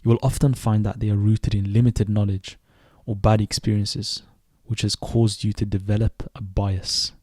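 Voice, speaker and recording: A young man in his twenties who grew up in Sweden, hosting a reflective solo podcast and speaking thoughtfully, with pauses and self-corrections. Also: lowish voice, flat delivery, dark tone, dry recording, clean studio sound. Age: 20s. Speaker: podcast host.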